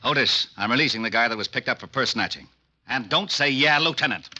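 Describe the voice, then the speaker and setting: An actor playing a gruff, exasperated police lieutenant in an old radio detective drama. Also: male voice, medium pitch, brisk, sharp tone. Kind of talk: 1940s-50s radio drama acting.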